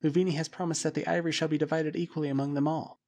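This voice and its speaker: A man's voice: on the high side, level tone, rapid-fire, a little mellow, pitched above the performer's own voice, lightly acted. A man voicing an earnest young boy who urgently warns and pleads.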